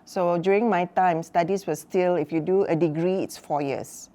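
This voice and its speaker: A woman in her sixties, a mother on a talk show, telling stories from her life in a relaxed, chatty way with many fillers. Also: on the low side, normal speed. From Malaysia. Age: 60s. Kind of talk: casual chat.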